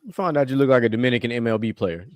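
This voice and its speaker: A man's voice: medium pitch, expressive, rapid-fire, no room sound. A man hosting a podcast in loose, casual chat, swearing and laughing with his co-hosts. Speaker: podcast host.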